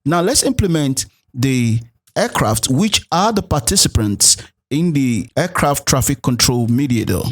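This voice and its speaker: A man's voice: medium pitch, very animated, measured pace. A man narrating a programming tutorial as an instructor, explaining things step by step. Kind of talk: tutorial narration with code walkthrough.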